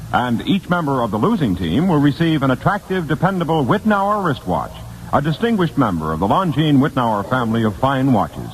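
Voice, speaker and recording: Male American announcer, formal sponsor-copy delivery, animated mid-range voice, vintage radio, slight hiss. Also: mid-range voice, rough recording. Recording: old radio broadcast with hiss.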